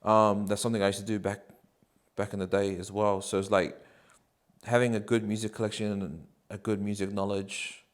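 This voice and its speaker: Give me a New man in his thirties, slightly low-pitched, chatting loosely with plenty of fillers. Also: normal speed. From New Zealand. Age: 30s. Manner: relaxed, rambling, many ums and likes. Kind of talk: casual interview answers.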